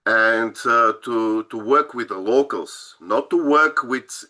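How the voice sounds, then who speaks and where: A man with a Bulgarian accent hosting a conversational interview, telling wry stories in an animated way. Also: medium pitch, slow. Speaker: host.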